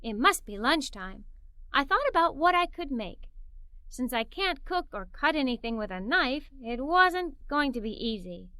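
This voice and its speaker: A woman reading a children's story aloud in the first person, with animated, expressive delivery. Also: sits high, a little slow, dry recording, clean studio sound. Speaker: storybook narrator.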